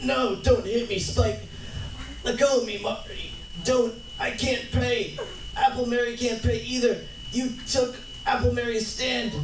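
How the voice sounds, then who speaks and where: A man's voice: extremely high pitch, fairly even intonation, measured pace. A man playing a frightened, worried street beggar with folksy slang in a hammy live radio drama reading. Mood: pleading, panicked. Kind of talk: 1940s radio drama read at a live panel. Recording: roomy distant mic, noisy convention room.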